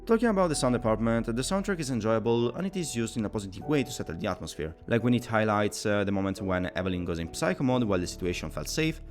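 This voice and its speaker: A man's voice: fairly low pitch, very animated, fast, hardly any pauses. A man narrating a scripted video game review in a wordy, opinionated, somewhat formal way. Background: background music.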